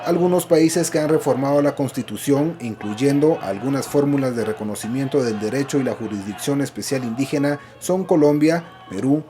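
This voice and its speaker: Male narrator, formal and even. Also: mid-range voice, normal speed, hardly any pauses, a little hiss or hum. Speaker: documentary narrator. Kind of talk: scripted narration.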